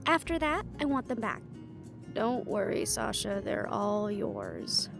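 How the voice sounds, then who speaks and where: A woman's voice: medium pitch, animated, slow, creaky. A woman playing a witty, confident young female adventurer in a playful, conversational audio roleplay. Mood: bantering. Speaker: amateur voice actor. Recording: music behind the voice.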